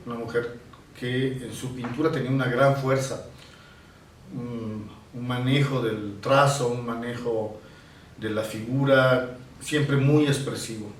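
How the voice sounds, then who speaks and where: A man's voice: medium pitch, fairly even intonation. A man in his sixties from Italy talks through his memories in a reflective way, often stopping to search for the next word. He sits some distance from the mic in a room with noticeable reverb. A faint hum of ambience stays under his voice. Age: 60s. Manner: reflective, pausing to search for words. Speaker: painter being interviewed. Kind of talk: reminiscing in an interview.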